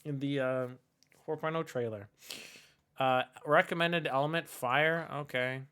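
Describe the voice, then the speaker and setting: A man's voice: on the high side, unhurried, bright, forceful tone, clean tone. A man in his twenties giving casual, slangy live game commentary in a reactive, jokey manner. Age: 20s. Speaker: game streamer. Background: faint game music.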